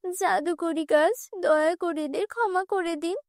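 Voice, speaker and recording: A kind, humble young heroine from a cartoon storybook who speaks earnestly and softly. A woman voices her in her own very high voice. The recording is dry, with no room echo. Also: measured pace. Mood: pleading. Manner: earnest, soft-spoken.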